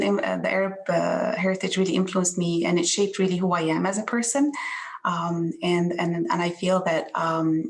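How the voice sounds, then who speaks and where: Egypt-born woman, 40s, panelist on a video call; low, creaky, monotone delivery, halting restarts. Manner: earnest, halting with many restarts. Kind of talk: online panel introduction.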